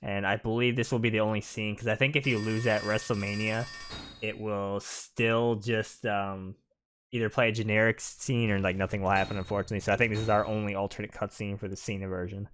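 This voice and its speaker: A man's voice: on the low side, flat delivery, brisk, fairly bright tone, slight background noise. A man giving casual, rambling commentary as the host of a video game let's-play. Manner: rambling, conversational.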